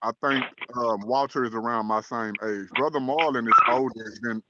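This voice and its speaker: A man in his thirties from Dallas, Texas, hosting an online talk show in a measured, earnest way, with frequent "um" and "uh" fillers. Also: medium pitch, lo-fi sound, heavy background noise. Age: 30s. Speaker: host.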